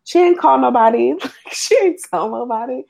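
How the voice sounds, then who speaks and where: A young woman musing aloud about a TV episode, animated and full of "like" fillers. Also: high voice, normal speed, gravelly, crowd noise. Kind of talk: casual chat about a TV episode.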